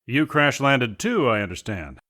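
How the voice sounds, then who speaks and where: A man plays a modest mercenary adventurer in an audio drama. His mid-pitched voice moves in a lively way, while his delivery stays polite, wary and understated. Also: moderate pace. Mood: polite, shaken. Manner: polite, plain-spoken, understated.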